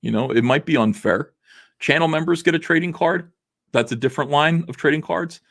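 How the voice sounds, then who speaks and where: A man in his forties hosting a casual livestream, reading viewer messages aloud, rambling with lots of fillers while his voice is going rough. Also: a little high, a little fast, fairly bright tone. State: losing his voice. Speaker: livestream host. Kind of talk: casual livestream chat reading super chats.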